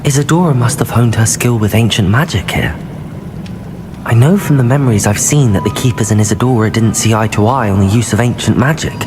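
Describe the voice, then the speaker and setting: An actor playing an earnest, measured young student wizard in a video game. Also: male voice, medium pitch, over music, natural voice. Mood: thoughtful.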